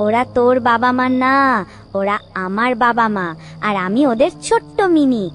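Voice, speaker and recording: A woman's voice: on the high side, moderate pace, soft background music, close to an everyday voice. A woman voicing the jealous, menacing ghost of a little girl in a cartoon ghost story, scolding and threatening. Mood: menacing, bitter.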